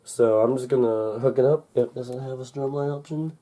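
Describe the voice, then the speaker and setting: Male, casual gadget demo, very dark soft-edged voice, slightly stuffy from a cold, rambling and hesitant. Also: mid-range voice. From United States. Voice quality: slightly congested. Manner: halting, rambling, muttering to himself. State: recovering from a cold. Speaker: gadget unboxing video maker. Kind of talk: casual demonstration while handling a device. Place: indoors at a wooden desk in a room. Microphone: camcorder held or set near the desk.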